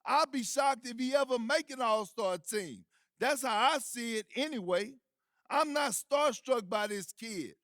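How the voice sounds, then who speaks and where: A man's voice: very high voice, expressive, measured pace, a bit bright, no room sound, clean studio sound, full character voice. A man playing an opinionated, scornful sports TV pundit in a video game, speaking emphatically and dismissively. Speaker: sports debate show pundit.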